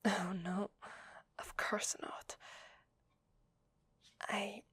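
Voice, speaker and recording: A woman with an Italian accent playing a shy, secretly needy vampire lady in a hesitant, breathy whisper for an ASMR roleplay. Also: medium pitch, little pitch movement, drawn-out words, no room sound, exaggerated voice. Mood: modest, hesitant. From Italy. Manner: hesitant, polite, soft-spoken. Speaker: ASMR voice actor.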